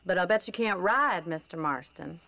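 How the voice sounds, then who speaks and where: A woman's voice: on the low side, animated, moderate pace, bright, forceful tone. A woman voicing a spirited young rancher in a game cutscene, forthright and teasing in her plainspoken talk. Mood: playful. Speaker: ranch owner. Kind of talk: video game cutscene dialogue.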